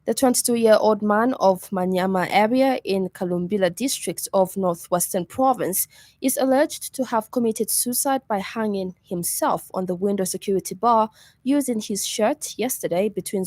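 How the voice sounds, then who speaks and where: A woman's voice: medium pitch, normal speed, a little mellow, no room sound. Zambian woman reading radio news, dry sound. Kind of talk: scripted news bulletin reading.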